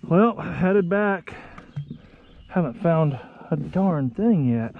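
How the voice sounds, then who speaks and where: A young American man chatting casually to viewers in a folksy, excitable way while out on the water. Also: fairly high pitch, very slow. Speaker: vlog host. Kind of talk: casual outdoor vlog chat. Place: outdoors on a kayak under a bridge. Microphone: action camera. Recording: muffled action camera audio with outdoor noise.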